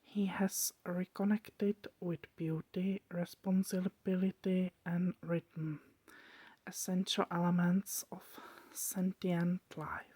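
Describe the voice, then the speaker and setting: A woman voicing a calm, reassuring, patient therapist in a hushed, measured ASMR roleplay whisper. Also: fairly low pitch, breathy edge, no echo. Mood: solemn.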